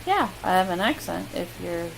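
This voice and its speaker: Chatty Midwestern woman, gravelly, hissy recording. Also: fairly low pitch, normal speed. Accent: Midwestern American. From American Midwest. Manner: chatty, self-deprecating, lots of ums. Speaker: vlogger. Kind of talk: casual accent challenge. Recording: loud steady hiss.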